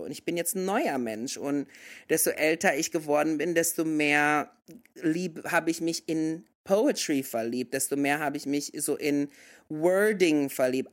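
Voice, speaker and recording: Guy in his 30s chatting away, german speaker who drops into english bits a lot, loose and talky. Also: fairly high pitch. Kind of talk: casual conversation.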